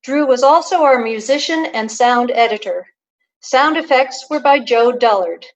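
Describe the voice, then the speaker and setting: A woman's voice: a little high, a little slow. A woman announcer reading scripted closing credits for a radio play, on a dull, muffled recording. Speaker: narrator and announcer.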